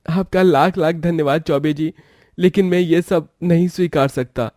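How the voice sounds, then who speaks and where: A man acts out a poor young street-food seller in a cartoon moral tale. His voice sits fairly high and is recorded dry and close. He is earnest and plaintive, with melodramatic delivery. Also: moderate pace, darkish tone, no echo, lightly acted. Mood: earnest, worried. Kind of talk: Hindi cartoon moral story acting.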